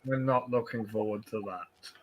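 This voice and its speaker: A man's voice: fairly low pitch, normal speed, a crowd in the background. A man co-hosting a film podcast, talking in a casual, conversational way.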